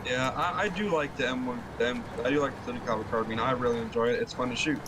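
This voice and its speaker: A man's voice: mid-range voice, very fast. A man chatting casually as a livestream co-player, informal and self-deprecating, often repeating himself. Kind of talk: casual chat. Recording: clear background engine noise.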